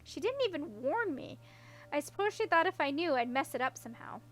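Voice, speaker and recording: A woman's voice: high voice, sing-song, brisk, fairly bright tone, voice pushed up. A woman voicing an awkward, unlucky young princess in a storybook, earnest and rambling as she explains herself. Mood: rueful. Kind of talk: audiobook character voice.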